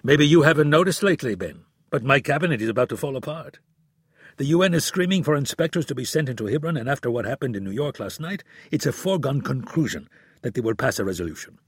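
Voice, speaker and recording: A man voicing a heavyset, embattled older prime minister who shouts in a raised, strained pitch. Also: animated, brisk, breathy edge, no echo, clean studio sound. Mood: angry.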